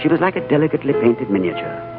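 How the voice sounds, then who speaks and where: Male actor as cultured diplomat, courteous, shrewd, lively intonation, old radio drama, lo-fi broadcast sound. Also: mid-range voice, racing, lightly acted. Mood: reflective. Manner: urbane, polished, courteous. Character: cultured, composed, shrewd British diplomat narrator. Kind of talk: old-time radio drama acting and narration. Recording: muffled vintage radio broadcast with music.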